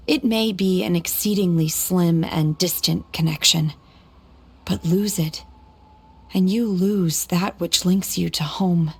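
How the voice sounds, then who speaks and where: Solemn young exorcist, low-pitched, breathy husky tone, measured, detached, video game. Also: female voice, darkish tone, exaggerated voice. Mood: pensive. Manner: measured, serious, literal.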